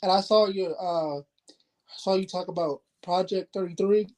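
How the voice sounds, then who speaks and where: A man co-hosting a casual video call chat, talking in a warm, encouraging way and often repeating words as he goes. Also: high-pitched, fairly even intonation, unhurried. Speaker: co-host.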